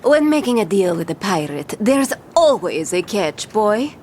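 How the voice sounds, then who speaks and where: A woman's voice: medium pitch, sing-song, measured pace, a little mellow, a little hiss or hum. A woman playing a bold, boastful young pirate captain in an audio drama, with theatrical, swaggering pirate talk. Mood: boastful, wary.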